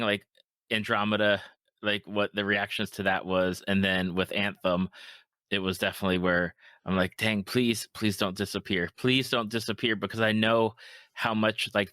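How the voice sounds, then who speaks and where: A man hosting a podcast in casual conversation, speaking haltingly and repeating words as he goes. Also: lowish voice, flat delivery, pushed and bright, no room sound. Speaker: podcast host. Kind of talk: casual chat about video games.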